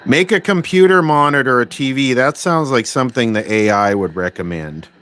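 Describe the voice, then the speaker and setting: A man hosting a casual livestream about electronics repair, thinking aloud in a halting, rambling way with dry, wry remarks. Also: mid-range voice. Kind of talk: casual unscripted livestream chat, reading and answering viewer requests. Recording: clear steady background noise.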